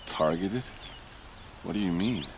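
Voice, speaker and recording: A deep-toned game captain, worn down by grief and drink. He is gruff but well-meaning and speaks haltingly, with a little outdoor noise behind. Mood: disbelieving. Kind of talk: video game acting.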